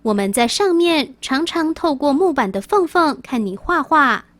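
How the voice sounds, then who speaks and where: A woman's voice: sits high, lively intonation, normal speed, fairly bright tone, no echo. A woman with a Taiwanese accent reading out scripted quiz sentences.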